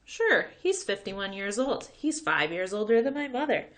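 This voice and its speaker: A woman voicing a naive, friendly schoolboy from a children's book, earnest and excitable. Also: medium pitch, moderate pace, voice pushed up. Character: naive, friendly, eager little schoolboy, proud and trusting.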